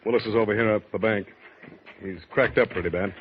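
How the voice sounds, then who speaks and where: An actor playing a brisk police lieutenant pressing for answers in a 1940s radio drama. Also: male voice, fairly low pitch, steady tone, close to an everyday voice. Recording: noisy, dull 1940s radio recording.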